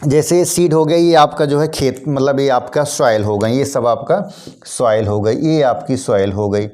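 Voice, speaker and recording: A man teaching a biology lesson to students, hoarse from a cold, repeating his points and often clearing his throat. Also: mid-range voice, unhurried, dark tone. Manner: repetitive, addresses students as kids, frequent throat clearing. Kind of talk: classroom lesson addressing students.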